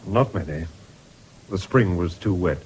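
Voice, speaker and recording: An actor playing a sober country doctor with an English accent in a 1970s radio drama, measured and grave. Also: male voice, sits low, lively intonation, darkish tone, poor audio. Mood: grave, gentle. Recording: hissy old radio broadcast recording.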